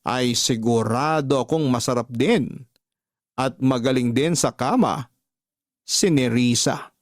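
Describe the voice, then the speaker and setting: Aktor na gumaganap na binatang babaerong nangungumpisal, tuyong tunog. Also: male voice, mid-range voice, takes it slowly, dry recording, studio quality, natural voice. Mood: confessional, unrepentant. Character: confessing young man, unrepentant womanizer, narrating his love story. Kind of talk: radio drama letter narration.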